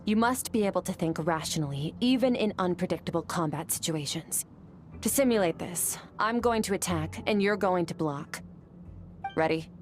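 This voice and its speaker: A woman voicing a composed adult swordswoman mentor in a video game, speaking in a calm, measured, matter-of-fact way. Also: lowish voice, faint music behind, lightly acted.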